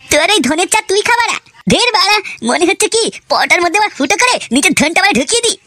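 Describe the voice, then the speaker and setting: A man voicing a hot-headed, foul-mouthed young cartoon man who rants and swears constantly, through a pitched-up effect. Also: lively intonation, fast, noisy background. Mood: furious. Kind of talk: comedy cartoon dialogue.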